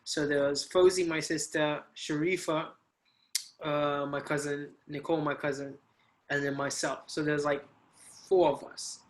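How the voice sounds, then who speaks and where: A man hosting a casual podcast, upbeat and relaxed as he leads the talk with easy questions. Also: on the high side, unhurried, poor audio. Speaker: podcast host.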